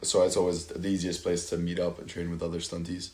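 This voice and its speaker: Deep, flat-voiced man in an echoey room. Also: quick pace. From Italy. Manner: hesitant, lots of fillers and restarts. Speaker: stunt performer, podcast guest. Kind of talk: casual interview chat. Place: at home.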